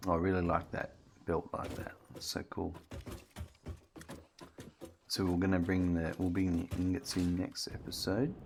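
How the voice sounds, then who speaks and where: A man's voice: low voice, level tone, unhurried, vocal fry, pauses often, darkish tone, slight background noise. A man streaming a building game, chatting casually and thinking aloud as he works, with his voice getting strained. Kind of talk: casual gameplay commentary.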